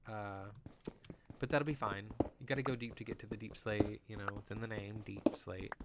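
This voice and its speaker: A man with a nasal voice, just getting over an illness, chatting excitably through casual live gameplay commentary as a game streamer. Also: lowish voice, moderate pace, creaky. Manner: chatty and excitable, lots of "like" and "you know". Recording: loud background noise.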